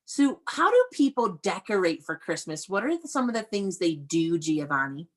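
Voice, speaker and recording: A woman's voice: lowish voice, big pitch swings, moderate pace. An American woman teaching young children in an interactive read-aloud over a video call, praising and prompting them with questions. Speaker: elementary school teacher.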